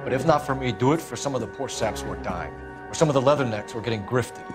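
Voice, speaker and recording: An actor playing an earnest crusading detective in a video game, with a persuasive manner. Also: male voice, mid-range voice, fast talker, lightly acted. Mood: pleading. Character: earnest male crusading ex-Marine detective asking for help. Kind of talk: cinematic video game acting. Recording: clear music behind the voice.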